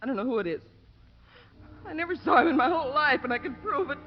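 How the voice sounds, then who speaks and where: An American actress playing a defiant, distraught working-class wife in a 1950s radio drama, insistent and defensive in plain speech. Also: female voice, high voice, expressive, quick pace. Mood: defiant, upset. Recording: noisy, muffled old radio recording.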